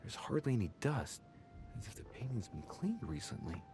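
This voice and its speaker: An actor playing an inquisitive young man investigating a mystery in a video game, musing aloud in a deliberate, theatrical way. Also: medium pitch, sing-song, noisy background, lightly acted. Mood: musing, curious. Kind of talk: dramatic game narration, thinking aloud.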